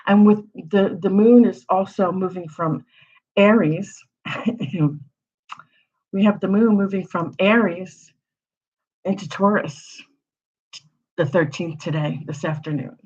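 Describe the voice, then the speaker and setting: A woman's voice: medium pitch, slow pace, pauses often, darkish tone, husky. A woman hosting an astrology channel, giving a casual, rambling forecast full of rhetorical questions. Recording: slightly dull lo-fi audio.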